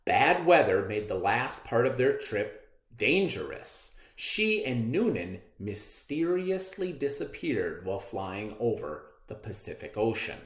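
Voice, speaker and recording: A man teaching a reading lesson to children, talking in an animated, encouraging way and reading a story aloud. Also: medium pitch, echoey room. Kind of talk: classroom read-aloud lesson.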